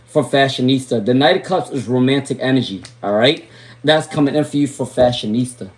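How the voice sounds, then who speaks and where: A man with a raspy, worn voice, chatting casually while giving live tarot readings and drifting into asides. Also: medium pitch, a little fast. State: voice worn from talking all day. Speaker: tarot reader.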